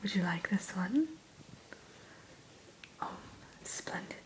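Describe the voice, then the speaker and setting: A woman whispering a gentle, courteous ASMR roleplay as the keeper of a fantasy creature shop, in a breathy voice. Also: medium pitch, poor audio. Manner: slow, gentle, courteous shopkeeper patter. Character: gentle, courteous female keeper of a dragon hatchery. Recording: steady hiss behind close whisper.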